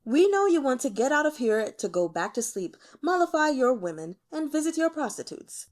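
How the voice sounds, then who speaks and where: A woman performs a young emperor in a fantasy audiobook, haughty and easily annoyed. The delivery is loud and swoops in pitch, informal and full of bored disdain. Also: very animated, moderate pace. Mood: weary, commanding. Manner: imperious, bored, scornful, informal. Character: irritable, flippant, weary young male emperor.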